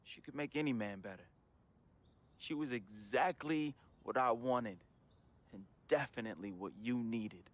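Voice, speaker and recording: A man's voice: mid-range voice, unhurried, lightly acted. An actor playing a troubled, grieving young American man reading a farewell letter to his friend in an emotional, slangy, confessional voice-over. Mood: wistful, fond. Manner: emotional, slangy, heartfelt urban American delivery. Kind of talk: dramatic confessional voice-over monologue. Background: music.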